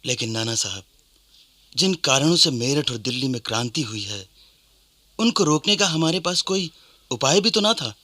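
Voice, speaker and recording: Animated rebel general, bright dry voice. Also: male voice, medium pitch, moderate pace, long pauses, no room sound. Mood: earnest, concerned. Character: Tatya Tope, rebel general advising his leader. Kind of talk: Hindi historical radio play acting.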